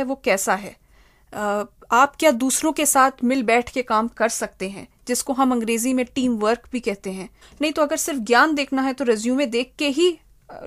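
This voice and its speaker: A woman giving methodical, step-by-step advice as an interviewed expert. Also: on the high side. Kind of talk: interview answers, explanatory advice.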